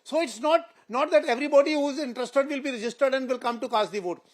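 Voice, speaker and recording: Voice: sits high, fast. A panel guest rebutting firmly with rhetorical questions. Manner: firm, rhetorical. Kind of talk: debate rebuttal.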